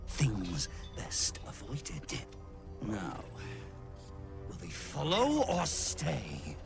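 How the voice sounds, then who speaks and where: An actor playing a fawning, unhinged cultist in a video game, halting and servile, often slipping into whispers. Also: male voice, medium pitch, wildly swooping pitch, gravelly, over music, full character voice. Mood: obsequious. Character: fawning, unhinged male cultist devoted to his unseen queen. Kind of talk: dramatic video game acting.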